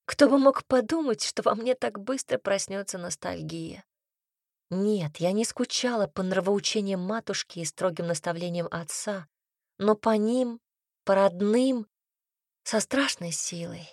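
A woman narrating a first-person story as an audiobook, reading in an even, expressive way. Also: medium pitch, unhurried, no room sound, studio quality.

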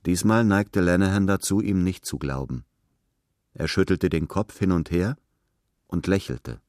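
Low-voiced man narrating literary fiction. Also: unhurried, a little mellow, no room sound, clean studio sound.

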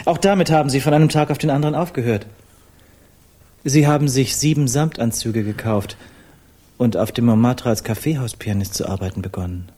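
An actor in a German radio play playing a polite Parisian artist, formal, deliberate and matter-of-fact. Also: male voice, mid-range voice, warm tone, close to an everyday voice. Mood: polite recounting. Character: polite, measured Parisian artist recounting a friend's past.

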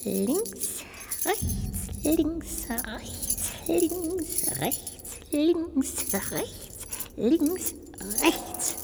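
Childlike little ghost in reverberant halls. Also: female voice, high voice, a little mellow, full character voice. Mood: pondering, playful. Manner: musing aloud to itself, drawn-out hesitations and exclamations. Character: little ghost, childlike, curious, cheerful and musing. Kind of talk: radio play acting. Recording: long hollow reverb, clear background noise.

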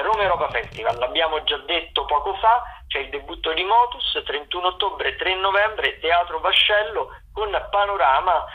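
A man hosting a radio programme, running through upcoming shows unscripted, in a halting, improvised way with drawn-out words and self-corrections. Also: on the high side. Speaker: radio host presenting theatre listings. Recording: tinny, phone-like radio sound.

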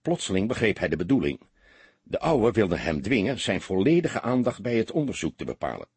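A man's voice: fairly low pitch, sing-song, a little fast, a little mellow, slightly rough, no echo. A man reading a crime story aloud as an audiobook narrator, in a dramatic scripted style.

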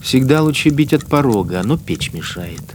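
A man's voice: mid-range voice, very animated, normal speed, noisy background, close to an everyday voice. Actor as a sneering, menacing wartime policeman. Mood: menacing, gloating. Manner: mocking, menacing, toying with his victims. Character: cruel, sneering wartime collaborator policeman with machine gun. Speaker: actor in a radio play. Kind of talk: dramatic first-person interior monologue.

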